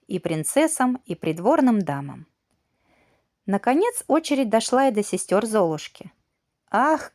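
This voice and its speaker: A woman narrating a children's fairy tale as an audiobook, in an expressive, measured bedtime-story manner. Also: medium pitch, dry recording, clean studio sound.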